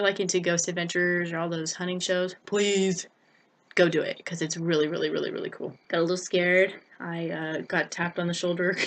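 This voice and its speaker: A young American woman giving casual vlog advice in a chatty, informal way, often repeating words for emphasis. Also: on the low side. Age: young adult. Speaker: vlogger. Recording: noticeable background noise.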